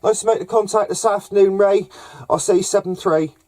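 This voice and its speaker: A man with a hesitant, halting delivery, making casual CB radio contacts with call signs and jargon. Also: high-pitched, steady tone, very noisy. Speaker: amateur CB radio operator running contacts. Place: camper van up in the hills.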